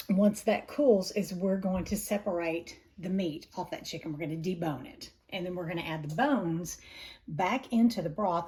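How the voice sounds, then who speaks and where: Raspy woman demonstrating a recipe. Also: fairly low pitch, warm tone. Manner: chatty, step-by-step explaining. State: raspy from allergies, heavy breathing. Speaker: home cooking channel host. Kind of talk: casual cooking demonstration. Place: home kitchen.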